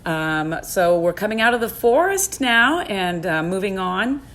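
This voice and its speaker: A woman's voice: medium pitch, very animated, unhurried, a bit bright. A woman, an author and co-host, gives casual introductory commentary.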